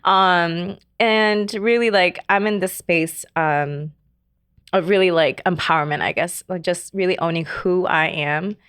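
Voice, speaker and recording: Low-voiced woman telling her story softly. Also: clean tone, no echo, studio quality. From South Korea. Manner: soft-spoken, many fillers and trailing pauses, laughs often. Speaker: podcast guest. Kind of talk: casual interview, personal story.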